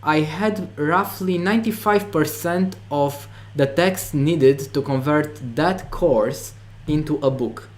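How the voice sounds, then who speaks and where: A man's voice: fairly high pitch, slow pace, few pauses. A man with a Romanian accent, a non-native English speaker, being interviewed about making online courses, talking with many fillers and restarts. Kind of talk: interview conversation.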